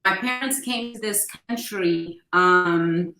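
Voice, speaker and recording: Bright-voiced Guyanese-born woman, echoey room. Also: medium pitch, slow pace, faint music behind. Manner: warm storytelling with fond anecdotes. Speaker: guest. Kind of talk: casual interview.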